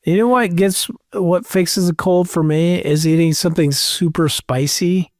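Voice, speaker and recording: Man, thirties, podcast co-host, slightly high voice, joking offhand talk, dry sound. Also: unhurried, no echo. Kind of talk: casual podcast chat.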